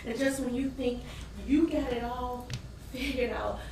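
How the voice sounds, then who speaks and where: Woman acting a high-voiced, weary struggling wife, echoing stage. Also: fairly even intonation, darkish tone, far from the mic. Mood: bitter, knowing. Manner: emotive, dramatic, with long pauses and sudden swells. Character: weary, embittered working-class wife and struggling mother. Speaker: stage actor. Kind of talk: theatrical stage monologue. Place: indoor stage performance space. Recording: roomy echoing theater from afar, faint noise.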